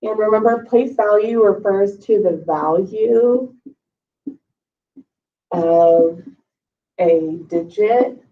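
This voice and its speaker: Slow, patient first-grade teacher, slightly scratchy. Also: female voice, medium pitch. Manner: slow, patient, encouraging teacher talk with counting aloud. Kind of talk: recorded math lesson for young kids. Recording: lo-fi, voice a bit far from the mic.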